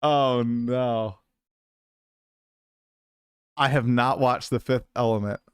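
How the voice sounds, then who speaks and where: A chatty man in his late twenties hosts a casual livestream, rambling and poking fun at himself while he's coming down with a cold. His voice is smooth and resonant at a middling pitch. It's dry and close on the mic. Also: fairly even intonation, slow, dry recording. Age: 20s. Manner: chatty, self-deprecating, rambling. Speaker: streamer host.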